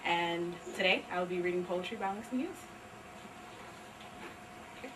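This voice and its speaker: A woman's voice: on the low side, moderate pace, noisy background. A woman presenting poetry to a live library audience, speaking plainly and putting questions to the listeners. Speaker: actress and poetry reader. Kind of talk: addressing a live audience between poems. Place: library event room. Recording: distant mic, loud piano music. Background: piano music.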